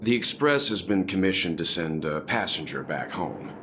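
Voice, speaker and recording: An actor playing a composed crew leader who speaks calmly and steadily. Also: male voice, low-pitched, moderate pace, background noise. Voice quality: calm, steady, reassuring. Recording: game music behind.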